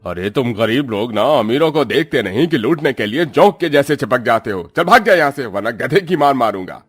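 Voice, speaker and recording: A man's voice: mid-range voice, animated, brisk, husky, soft background music, voice pushed up. A man voicing an arrogant, hot-tempered rich employer in a cartoon story, scolding with contempt. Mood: furious.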